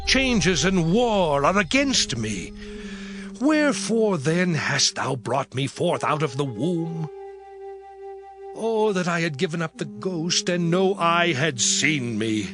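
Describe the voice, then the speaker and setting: An actor playing an afflicted, righteous man in a dramatized scripture reading, lamenting and pleading with God in solemn, archaic phrasing. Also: on the high side. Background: music.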